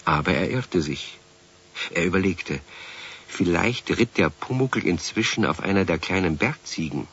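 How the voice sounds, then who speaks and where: A storyteller narrates a children's radio play in a husky, lowish voice. His delivery is very lively and scripted, on a slightly muffled old recording. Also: moderate pace, exaggerated voice. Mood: storytelling.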